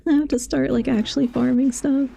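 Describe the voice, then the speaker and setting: A woman's voice: sits high, gravelly, dark tone, breathy edge. A sleepy-sounding woman chatting casually on a game stream, rambling and thinking aloud. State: sleepy, yawning. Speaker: live streamer.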